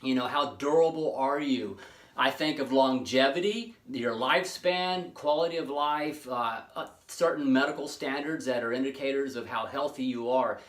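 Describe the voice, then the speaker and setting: A man in his late forties hosting his own fitness channel, talking casually and rambling with many pauses and restarts. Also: medium pitch, echoey room. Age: 40s. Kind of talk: casual monologue to camera.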